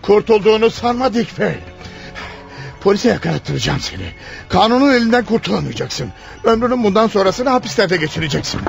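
Radio play role: indignant elderly boss, piercingly high, crackly, animated, scolding and stubborn. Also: male voice, fairly quick, gravelly, soft background music, full character voice. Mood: threatening. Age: older. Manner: scolding, outraged. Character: indignant, stubborn old male boss, threatening punishment. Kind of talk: radio theatre acting.

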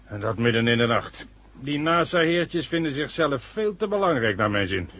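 A man's voice: medium pitch, big pitch swings, moderate pace, fairly bright tone, slightly rough. A male actor playing a stern, sarcastic police inspector in a 1970 radio drama, reproving and commanding. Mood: grumbling.

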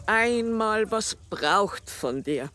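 A man's voice: high-pitched, animated, extremely slow, bright, forceful tone, exaggerated voice. An actor playing an exasperated, reproachful father. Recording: radio play with music behind.

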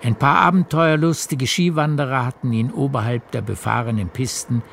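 A man narrating a literary radio play in a measured storytelling manner. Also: mid-range voice, creaky, fairly bright tone, a little hiss or hum. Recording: music behind the narration.

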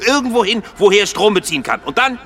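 Theatralischer, getriebener Warner mit stark schwankender Stimme. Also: male voice, high voice, sing-song, fast talker, a bit bright, a little hiss or hum. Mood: agitated, urgent. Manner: formal, theatrical, insistent, erratic swings. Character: agitated male insider warning of a murderous system. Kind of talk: science-fiction radio drama acting.

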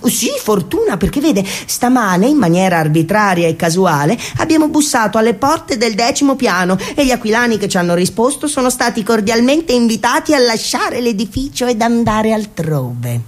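A man's voice: very high voice, sing-song, normal speed, hardly any pauses. A man voicing a hotel receptionist in a theatre monologue, officious and mock-courteous, with cold cheer. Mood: smug, cold.

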